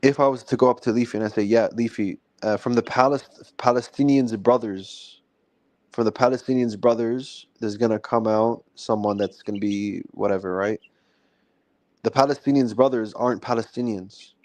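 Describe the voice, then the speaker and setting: A man's voice: medium pitch, steady tone, normal speed, darkish tone, no room sound. A man from Lebanon in an informal religious debate, explaining his argument and often checking with the listener. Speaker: debate panelist.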